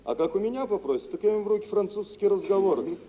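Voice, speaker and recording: An actor playing a boisterous, self-assured gentleman shipowner in theatrical mid-century radio drama, telling things in an expansive, swaggering way. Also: high voice, fast talker, lightly acted. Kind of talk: mid-century Soviet stage acting for radio. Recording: muffled, noisy 1950s radio recording, reverberant hall.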